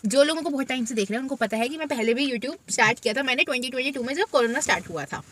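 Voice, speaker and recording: A woman's voice: high voice, racing, fairly bright tone, slight background noise. Giggly young woman chatting in a car. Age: 20s. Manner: chatty, giggly, reads out questions. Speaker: vlogger. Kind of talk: casual Q&A chat. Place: inside a parked car. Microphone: camera phone on the dashboard.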